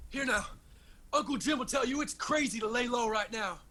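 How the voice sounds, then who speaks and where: An actor playing a hot-headed, defiant young Appalachian son in a radio drama, brash and impatient. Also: male voice, very high voice, fairly quick, sharp tone, heavily acted. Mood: restless, defiant.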